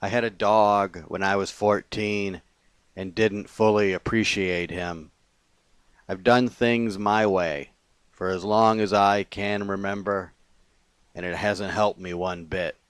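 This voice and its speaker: A man reading his own confessional poetry as a poet, in deliberate short phrases with pauses between them. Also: on the low side, fairly even intonation, clean tone, no echo.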